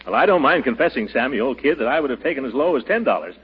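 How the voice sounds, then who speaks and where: An actor playing a pompous, blustery middle-aged uncle who flusters easily, in 1940s radio comedy style. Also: male voice, medium pitch, expressive, lightly acted. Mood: sly haggling. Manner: blustery, drawn-out exclamations and hesitations. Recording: dull, hissy 1940s radio recording.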